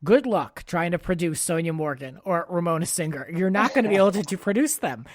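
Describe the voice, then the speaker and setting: A man's voice: sits high, lively intonation, fairly quick, dry recording. Chatty man with a dry, close sound. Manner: chatty, opinionated, frequent filler words. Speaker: podcast host. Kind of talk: casual pop culture chat.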